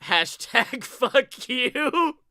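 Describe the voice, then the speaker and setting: A young man co-hosting a casual wrestling podcast, joking and swearing in an animated way. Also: very high voice, drawn-out words, no room sound, clean studio sound. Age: young adult. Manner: animated, profane, jokey. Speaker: podcast co-host. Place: small room at home, on a video call.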